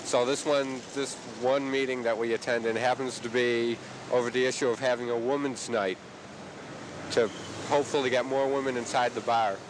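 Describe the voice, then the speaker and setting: A man's voice: medium pitch, fairly even intonation, moderate pace, background noise. A man narrating casually and a little hesitantly to introduce the video.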